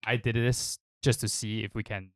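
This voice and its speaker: A young man guesting on a podcast, speaking in halting casual chat full of fillers. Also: fairly low pitch, flat delivery, no echo, clean studio sound. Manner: hesitant, halting, many fillers.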